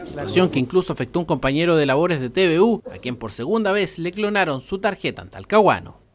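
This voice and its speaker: A man's voice: on the high side, lively intonation, fast. A man with a Chilean accent narrating a scripted television news report.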